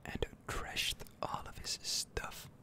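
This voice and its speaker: Guy whispering super slow and halting over a video game, asmr style, breathy, right up on the mic. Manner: hushed, halting delivery. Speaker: gaming ASMR streamer.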